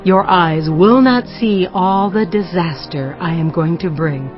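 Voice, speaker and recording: A woman's voice: fairly low pitch, unhurried, natural voice. A woman playing a stern prophetess in a dramatized scripture audiobook, speaking in a solemn, declamatory manner. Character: woman prophet delivering stern divine judgement. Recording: dull lo-fi sound with music behind.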